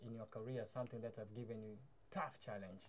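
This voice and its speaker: A man's voice: lowish voice, steady tone, brisk. Hyped young man hosting an interview. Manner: enthusiastic hype introductions, loose informal phrasing. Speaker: show host. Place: small studio room with mic stands.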